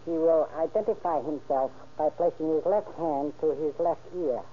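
Higher-voiced, formal smuggling boss, acted by a man. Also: lightly acted. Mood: formal, secretive. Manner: formal, deliberate, authoritative. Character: stern, methodical man instructing a smuggling courier. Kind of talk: old-time radio crime drama acting. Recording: muffled, narrow old radio recording.

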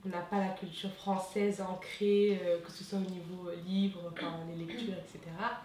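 A woman student speaking in a measured, hesitant way about her schooling. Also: on the low side, steady tone, a little mellow, slight background noise. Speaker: student giving testimony. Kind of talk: casual conversational testimony. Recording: very echoey room, distant mic.

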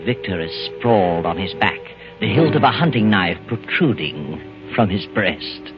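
An actor playing a sinister, mocking, all-knowing narrator in a 1940s radio suspense play. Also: male voice, low voice, big pitch swings, a little slow, music in the background. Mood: ominous. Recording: muffled old radio recording.

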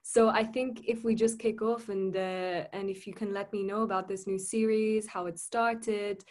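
A woman hosting a podcast over a laptop video call, talking casually and hesitantly with many pauses. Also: medium pitch, steady tone, a little mellow. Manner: hesitant, many fillers and restarts. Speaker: podcast host.

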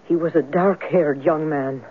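A woman's voice: low voice, measured pace, breathy edge. An actress playing a nervous, chatty working-class landlady in a radio drama, with folksy, ungrammatical speech. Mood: nervous, reluctant. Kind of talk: old-time radio drama acting. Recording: narrow-band old radio recording.

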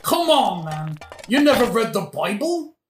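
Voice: mid-range voice, sing-song, music in the background. A performer playing a drunken, cheeky gravedigger who philosophizes and argues with mock logic in a modern comic audio drama. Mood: wry. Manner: argumentative, mock-logical, joking, sometimes singing slowly. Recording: roomy echo, faint noise.

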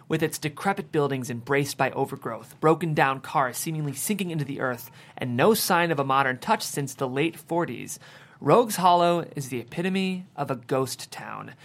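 A narrator reading the stage directions of a screenplay at a table read. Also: mid-range voice.

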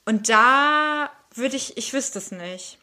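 A woman's voice: high-pitched, expressive, slow, bright, forceful tone. A woman chats casually and mostly answers her partner. She gives short agreements and thinks aloud about her own habits. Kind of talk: casual chat.

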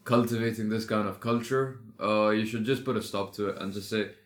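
A man's voice: fairly low pitch, steady tone. A man in a casual group discussion, arguing his points emphatically and often jumping in to agree with the others. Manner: emphatic, quick agreeing interjections. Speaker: discussion participant.

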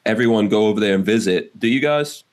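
A man's voice: lowish voice, fast. A man hosting a casual podcast interview, who keeps the talk moving with friendly "right?" questions. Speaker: podcast host.

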